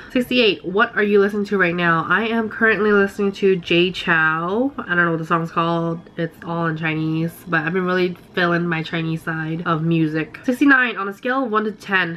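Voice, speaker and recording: A woman in her twenties who sounds nasal from a cold, casually reading questions aloud and answering them chattily in her bedroom. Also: fairly low pitch. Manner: reads each question number brightly, then answers chattily. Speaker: beauty vlogger.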